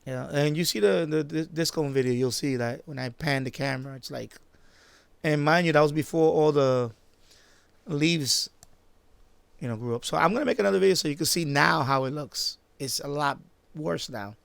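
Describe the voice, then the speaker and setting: Gravelly New man chatting casually. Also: on the high side, dry recording. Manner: halting, repetitive, trailing off and circling back. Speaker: live-stream host, radio hobbyist. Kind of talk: casual unscripted live-stream chat.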